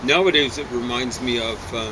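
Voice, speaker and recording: Man outdoors with clear traffic noise. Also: medium pitch, fairly quick. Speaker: poem reader and reviewer. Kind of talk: casual chat and poem reading. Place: outdoors on a deck.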